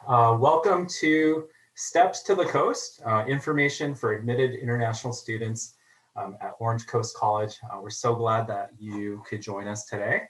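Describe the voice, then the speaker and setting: A man from a college's international office presents an online information session for new students. He speaks in a friendly way and fills his pauses with "um" and "uh" over a video call. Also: mid-range voice, steady tone, a bit bright, rough recording. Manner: friendly, filler-heavy delivery with frequent "um" and "uh". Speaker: international student services staff member.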